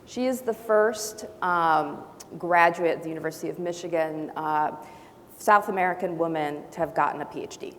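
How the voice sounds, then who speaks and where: Woman panelist, non-native English speaker, measured, faint room hiss. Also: deep voice. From Brazil and Honduras. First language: not English. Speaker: panelist, faculty member. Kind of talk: panel discussion. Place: large auditorium. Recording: long hall reverb, faint noise.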